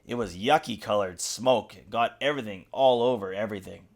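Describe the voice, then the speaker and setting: A father reading a picture book aloud to a young child, with expressive, dramatic emphasis. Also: lowish voice, fairly quick, gravelly. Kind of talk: animated children's storybook narration. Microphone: USB desktop mic.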